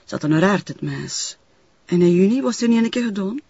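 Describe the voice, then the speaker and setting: An actress playing a gossipy, guarded older neighbour in a TV crime drama, husky, with a West Flemish accent and hesitant, trailing chatter. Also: female voice, medium pitch, lively intonation, brisk, dark tone, dry recording. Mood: confiding, gossipy. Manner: hesitant, chatty, trailing sentences with tag questions. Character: gossipy older working-class woman, guarded but nosy neighbour. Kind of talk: naturalistic television crime drama acting. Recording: dull, muffled TV soundtrack.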